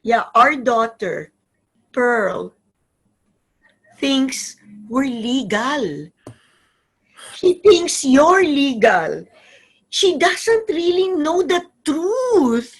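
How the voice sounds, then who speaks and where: Actress as immigrant wife and mother, stage drama: high-voiced, wide swings, pleading and anxious. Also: sing-song, extremely slow, pauses often, exaggerated voice, low-quality recording. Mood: worried. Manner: emotional, pleading. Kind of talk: dramatic staged play reading.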